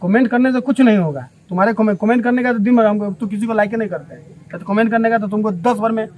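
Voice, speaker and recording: A man with a distinctly high voice and a Bihari accent answers questions in an unscripted interview. He is emphatic, often saying a phrase again to drive it home. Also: fast, muffled recording, a little hiss or hum. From Bihar, India. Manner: emphatic, repeats phrases for stress. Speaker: local interviewee.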